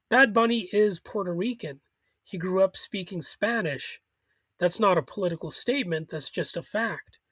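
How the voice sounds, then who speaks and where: A man's voice: high-pitched, dry recording. A man hosting a solo political commentary show, arguing critically, with chuckles and small restarts.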